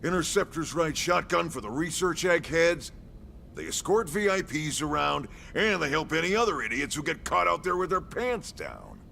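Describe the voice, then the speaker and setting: An actor playing a gruff, brash middle-aged military commander in a video game, with an American accent, a gravelly voice and a blunt, folksy, salty way of talking. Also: male voice, fairly high pitch, sing-song, normal speed, bright, forceful tone, a little hiss or hum, exaggerated voice. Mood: briskly explaining. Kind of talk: scripted video game cutscene acting.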